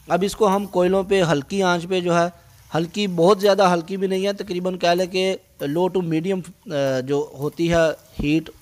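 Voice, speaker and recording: Higher-pitched Pakistani man narrating a recipe. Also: darkish tone. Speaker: cooking channel host.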